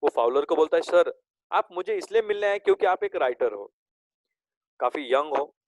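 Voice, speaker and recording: A male teacher giving a recorded class lesson. He reads English lines slowly and drawn out, explains them, and keeps checking in with "okay?". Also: a little high, lively intonation, noisy background. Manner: slow, drawn-out reading of English lines, then explains in Hindi.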